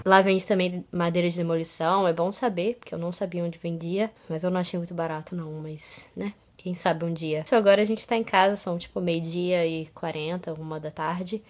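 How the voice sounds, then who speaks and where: A woman's voice: on the low side, steady tone, brisk, few pauses, faint music behind. A young woman vlogging casually from her bedroom, chatty and laughing often, a bit stuffy from a cold. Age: young adult. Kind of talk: casual vlog chat. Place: small bedroom at home. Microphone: phone camera at arm's length.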